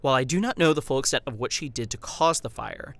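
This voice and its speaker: A man's voice: mid-range voice, fast talker, a bit bright, no echo, lightly acted. An actor playing a haunted, earnest survivor who recounts his ordeal in grave, formal, theatrical narration for a horror audio drama. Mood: earnest, pleading. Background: music.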